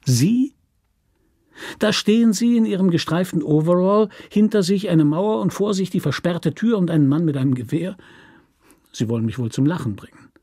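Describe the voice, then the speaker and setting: An actor playing a calm, shrewd gentleman who questions a convict in a controlled, dry manner in a radio play. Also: high voice, normal speed, slightly rough, no room sound, voice pushed up, heavily acted. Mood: probing.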